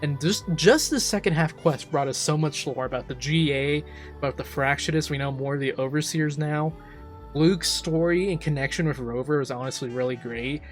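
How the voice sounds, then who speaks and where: Male reaction streamer, slightly high voice, casual and emphatic, keen gaming commentary over faint music. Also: moderate pace. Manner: enthusiastic, emphatic, informal. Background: faint game music.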